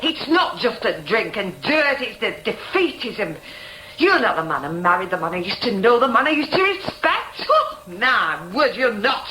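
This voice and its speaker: An actress playing a fed-up working-class wife with a Northern English accent in a radio drama, forceful and reproachful. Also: female voice, fairly high pitch, sing-song, normal speed, roomy sound, slight background noise, low-quality recording. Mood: furious, reproachful.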